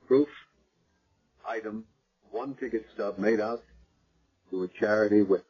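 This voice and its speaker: Man detective, dry, matter-of-fact, husky, lo-fi old radio recording. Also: lowish voice, a little slow. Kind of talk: 1970s radio drama acting. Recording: dull lo-fi vintage radio recording.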